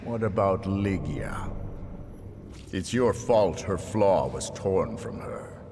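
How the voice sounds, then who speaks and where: Deep-voiced, coldly taunting spirit judge. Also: male voice, animated, darkish tone, slight background noise. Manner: measured, theatrical, accusatory, condescending. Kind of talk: dramatic video game voice acting. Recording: music under the voice.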